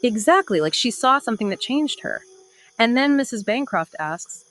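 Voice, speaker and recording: A woman's voice: fairly high pitch, very animated, moderate pace, a bit bright. A woman co-hosting a podcast in a conversational literary discussion, with dramatic storytelling emphasis. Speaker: podcast co-host.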